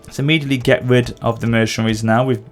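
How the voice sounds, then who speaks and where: A man with a sore throat talks through his strategy game in an easygoing, mid-pitched voice. He mutters, second-guesses himself and corrects his own plans as he goes. Faint game music plays behind him. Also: fairly even intonation, fast. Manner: thinks aloud, self-correcting, muttering to himself. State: sore throat with occasional coughing. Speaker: gameplay channel host. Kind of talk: casual strategy-game commentary.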